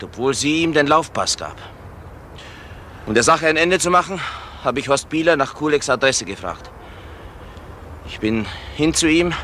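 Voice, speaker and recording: A protective middle-aged father, guarded and indignant, with a forceful edge to his mid-pitched voice. It is a crime radio drama with faint noise behind.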